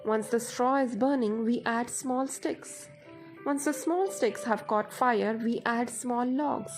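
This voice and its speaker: A woman guides a meditation in a fairly high voice. She speaks very slowly and in a measured, instructive way. Music plays softly behind her words.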